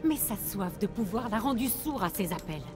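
A woman's voice: mid-range voice, animated, normal speed, lightly acted. A woman voicing a proud, shrewd rebel leader in a video game, with an earnest, firm and persuasive delivery. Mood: grave, resolute. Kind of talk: video game voice acting in French. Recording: game dialogue over loud music.

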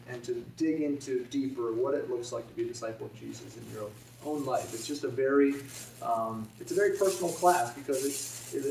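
A young man, a youth pastor, giving a relaxed, friendly talk to a congregation. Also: mid-range voice, slight background noise. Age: young adult. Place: church sanctuary. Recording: echoey church from a distance.

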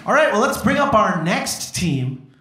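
An actor playing a loud, mocking host announcing teams at a hackathon event. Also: male voice, sits high, very animated, a little slow, noisy background. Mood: brash. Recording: roomy hall with crowd, from far off.

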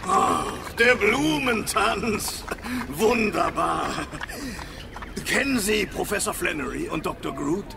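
Radio drama alien dignitary, heavy creak, slightly high voice, courtly and effusive welcome manner. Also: male voice, lively intonation, slow, full character voice. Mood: gracious, proud. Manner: effusive, formal, polite. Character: courteous, proud, long-lived alien host showing visitors around. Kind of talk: science fiction radio drama acting. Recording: noisy ambience behind the voice.

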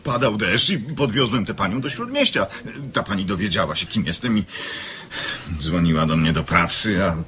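An actor playing a polite, puzzled gentleman with a courteous, formal manner in an audio drama. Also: lowish voice, sing-song, moderate pace, noisy background. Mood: measured explanation. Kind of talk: scripted audio drama acting.

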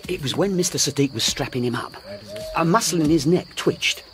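Humble, animated working-class jockey. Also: male voice, a little high, fairly quick. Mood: earnest, indignant. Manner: deferential, rustic ungrammatical speech. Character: humble, earnest working-class racehorse jockey devoted to his horse. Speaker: actor in a radio drama. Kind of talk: radio drama acting.

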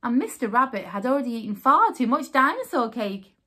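A woman's voice: a little high, sing-song, brisk, a bit bright, breathy edge. A woman in her thirties hosting storytime, reading aloud to young children in a sing-song way.

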